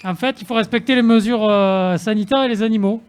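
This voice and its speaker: A man playing a comic guest who claims to be a famous professor's hidden son, in a halting, deadpan improvised sketch. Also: high voice, smooth, soft background music, natural voice. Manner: stammering deadpan, halting restarts. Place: holiday village studio with masked audience.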